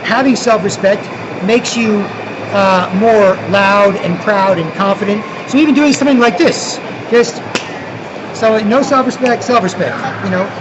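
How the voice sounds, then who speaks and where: A man's voice: sits high, measured pace. Canadian guy rambling on a busy street, keeps saying right?, muffled phone audio w traffic. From Toronto, Canada. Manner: rambling, repeats "right?", many restarts. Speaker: vlogger. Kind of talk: rambling street vlog monologue.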